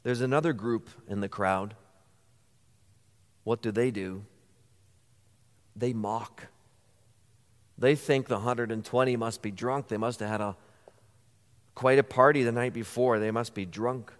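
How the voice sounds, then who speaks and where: A man's voice: lowish voice, unhurried, pauses often. A man preaching a sermon as pastor, earnest, posing rhetorical questions to the congregation. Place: church sanctuary. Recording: church hall reverb.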